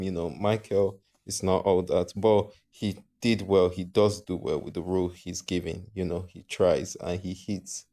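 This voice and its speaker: Brief: deep-voiced man from Africa, vlog host, loose and rambling, trips over himself. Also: darkish tone. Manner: rambling, hesitant, often corrects himself. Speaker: channel host. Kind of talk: casual opinion vlog.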